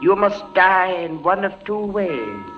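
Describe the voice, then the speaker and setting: An actor playing a stern, pious, merciless Inquisition judge, solemn and declamatory in 1940s radio style. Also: male voice, high voice, sing-song, slow, faint music behind, full character voice. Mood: coldly solemn. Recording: muffled 1940s radio recording.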